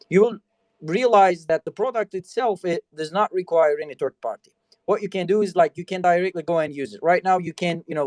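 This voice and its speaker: A man with a fairly high voice hosts a casual talk show conversation. His sound is dry and close. He keeps dropping you know into his sentences. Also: a little mellow, no room sound. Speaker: host.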